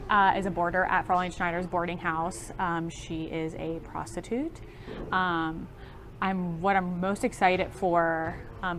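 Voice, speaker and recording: An American actress from Delaware in a small-town theatre cast feature, lowish voice, a little noise behind. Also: female voice. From Delaware, United States. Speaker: musical theatre actor. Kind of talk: cast interview.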